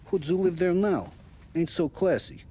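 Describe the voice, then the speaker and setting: An actor playing a streetwise, clipped, businesslike American gangster who supplies guns in a game. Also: male voice, high voice, moderate pace, dark tone, a little hiss or hum, lightly acted. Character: streetwise mob gun supplier, matter-of-fact. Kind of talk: dramatic game cutscene acting.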